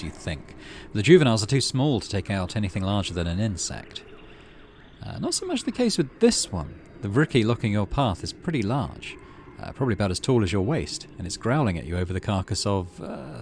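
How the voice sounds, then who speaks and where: A man with a fairly low voice plays through a game and reads its text aloud. His intonation swings widely as he moves between measured narration and muttered asides. There is clear noise behind him. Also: big pitch swings, darkish tone. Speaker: gaming channel host. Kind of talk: casual game playthrough, reading on-screen text aloud. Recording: noticeable background noise.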